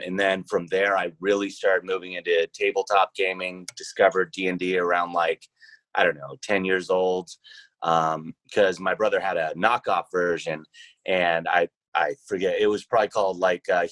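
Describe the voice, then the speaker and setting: A man's voice: low-pitched, level tone, normal speed, a bit bright, dry recording. A man in his thirties chatting casually as a guest on a podcast. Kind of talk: casual chat.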